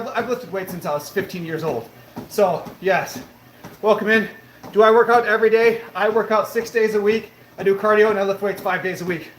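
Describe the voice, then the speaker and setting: A man in his late thirties hosting a live stream from his treadmill, answering viewer comments in an upbeat, motivational way. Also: sits high. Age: 30s. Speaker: livestream host. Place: home room with a treadmill, indoors. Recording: roomy echo, faint background noise.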